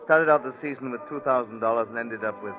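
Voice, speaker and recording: Actor as British gambler, tinny old radio. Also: male voice, mid-range voice, quick pace, music in the background, lightly acted. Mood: resigned, bitter. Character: desperate English racetrack gambler, smooth-talking, resigned confessor. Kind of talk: 1940s radio acting, first-person crime narration. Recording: tinny, band-limited 1940s radio recording.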